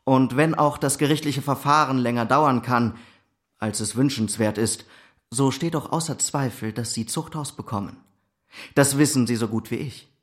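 A stern gentleman as an actor plays him in a radio drama, with a mid-pitched voice. He is composed and precise, laying down terms with firm authority. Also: normal speed, lightly acted. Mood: cool authority. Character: stern, composed gentleman coolly cornering a culprit. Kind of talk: German radio drama acting.

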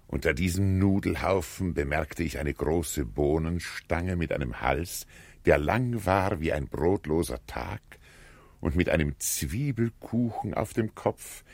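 A man narrates literary prose for radio in an extremely deep voice with a gravelly, raspy grain. He takes his time and speaks deliberately, like a storyteller, in a very dry studio sound. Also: no echo.

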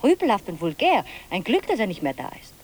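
A woman's voice: medium pitch, wildly swooping pitch, fast, husky. An actress playing a snobbish, overbearing wife and mother in a radio drama, with a prim and theatrical manner. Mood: indignant disdain.

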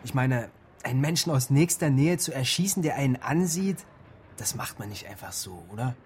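An actor playing an earnest, eager young police investigator in a naturalistic radio drama. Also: male voice, medium pitch, animated, normal speed, a little mellow, a little hiss or hum, natural voice. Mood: thoughtful, speculating. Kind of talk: naturalistic radio play dialogue.

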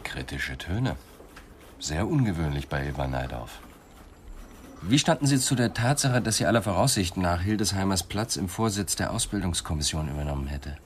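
An actor playing a dry, persistent police inspector in a radio crime drama, questioning with sceptical insistence. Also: male voice, deep voice, animated, moderate pace, slight background noise. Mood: insistent, probing.

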